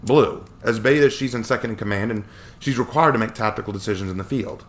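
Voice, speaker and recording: A man reading a story aloud and voicing a steady, protective young military man close to his own deep voice. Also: fast talker, natural voice. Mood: earnest.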